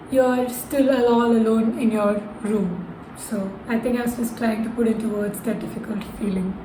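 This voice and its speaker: A young adult woman, a university student, giving a prepared introduction of herself. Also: fairly high pitch. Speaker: university student, columnist nominee. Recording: echoey room with noticeable noise.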